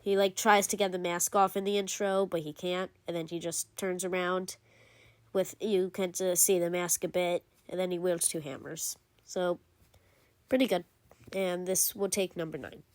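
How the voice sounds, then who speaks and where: A woman's voice: fairly low pitch, fairly even intonation, normal speed, a little mellow, no room sound. A woman giving casual commentary as a gaming video creator, rambling with lots of fillers and self-corrections. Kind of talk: casual game commentary ranking.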